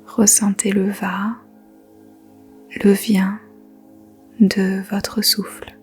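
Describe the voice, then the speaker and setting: A woman guides a yoga nidra meditation in a soft, whispery voice. Her delivery is very slow and even, keeping close to one pitch. Faint music plays beneath her gentle instructions. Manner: slow, gentle, soothing instructions with long pauses.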